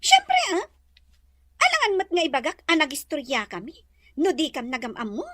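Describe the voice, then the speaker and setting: A woman with a Filipino Ilocano accent acting a haughty, sharp-tongued young madam in an audio drama, curt and imperious. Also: high-pitched, huge pitch swings, normal speed, dry recording, studio quality, full character voice.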